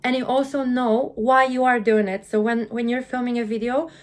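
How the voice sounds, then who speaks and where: Woman, Polish accent, higher voice, earnest, quick, restarts often. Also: steady tone. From Poland. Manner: earnest, quick, repeats words when starting sentences. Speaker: guest, content creator. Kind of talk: interview storytelling.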